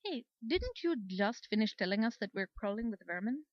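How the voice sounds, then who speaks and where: A woman's voice: fairly high pitch, big pitch swings, moderate pace, no room sound, muffled recording. A woman voicing a seasoned, knowing male Pegasus soldier in a storybook, smirking and patient. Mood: knowing, amused.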